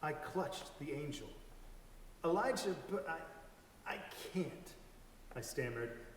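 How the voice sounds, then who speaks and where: A man giving an expressive dramatic reading of a story, acting out the dialogue. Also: a little high, slow pace. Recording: echoey hall, distant mic, background hiss.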